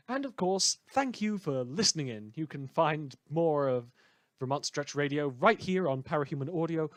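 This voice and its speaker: A man's voice: medium pitch, no room sound. A man giving casual spoken credits as the creator of a fan audio drama.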